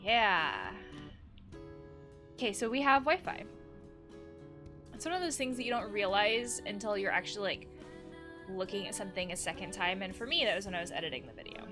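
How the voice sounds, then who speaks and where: A woman's voice: medium pitch, lively intonation, fairly quick, pauses often, music in the background. A woman hosting a casual let's play, reading game text aloud and adding chatty asides as she reacts. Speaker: host of a video game let's play, therapist.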